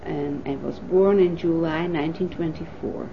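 A woman in her fifties from the Netherlands, a native Dutch speaker, recalling her life in an oral history interview with thoughtful pauses and fillers. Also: low-pitched, steady tone, a little hiss or hum. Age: 50s. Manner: thoughtful, pausing with frequent fillers. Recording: dull lo-fi 1980s tape recording.

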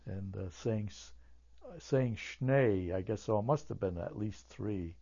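Older male interviewee, German as first language, low gravelly voice, hesitant and full of restarts, dull lo-fi tape sound. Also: warm tone. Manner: halting, many fillers and restarts. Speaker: oral history interviewee. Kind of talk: oral history interview.

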